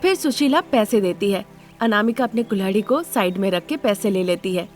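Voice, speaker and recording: A woman's voice: medium pitch, animated, moderate pace, soft background music. A woman reading scripted narration of a moral story as the narrator.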